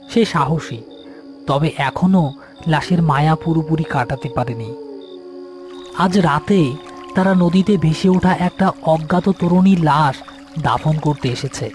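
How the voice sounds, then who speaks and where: A man's voice: on the high side, darkish tone. A man. Music plays behind his storytelling. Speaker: story narrator. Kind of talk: scripted horror story narration. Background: background music.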